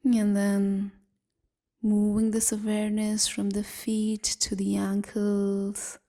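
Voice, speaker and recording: A woman leading a guided meditation in a gentle, soothing, half-whispered voice, leaving long pauses between phrases. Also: medium pitch, monotone. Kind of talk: guided evening body scan meditation.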